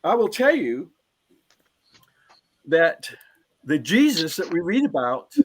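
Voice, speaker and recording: A man's voice: a little high, big pitch swings, takes it slowly. A man speaking as a panelist in a live discussion, earnest and emphatic, often repeating a phrase as he builds toward his point.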